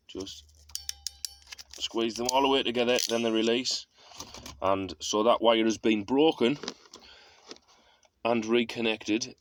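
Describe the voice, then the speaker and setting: A man giving a casual, self-deprecating hands-on demonstration, thinking aloud in an informal way as he works in a car. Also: lowish voice. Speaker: car DIY video host. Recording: clear background noise.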